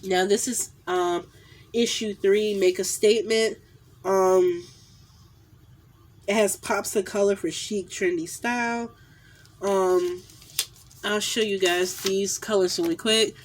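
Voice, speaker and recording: A woman's voice: mid-range voice, steady tone, slow, long pauses, a little hiss or hum. A woman in her thirties with a nasal, stuffy voice from a cold, chatting casually about makeup palettes, filling her talk with "um" and repeated "definitely", recorded on a phone held at arm's length in a small room at home. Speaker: beauty vlogger. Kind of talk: casual makeup haul review. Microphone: phone camera at arm's length.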